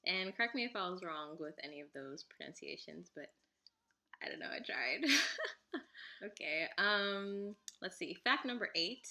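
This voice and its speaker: A woman's voice: fairly low pitch, lively intonation, a little slow, pushed and bright. A young woman whose first language is Igbo, chatting casually to camera with frequent giggles and fillers. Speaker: vlogger.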